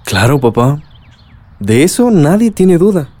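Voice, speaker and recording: A man's voice: on the high side, sing-song, measured pace, dark tone. A man with a Mexican accent playing a supportive, principled young adult son in a radio drama. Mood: supportive, earnest. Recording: music bed under dialogue.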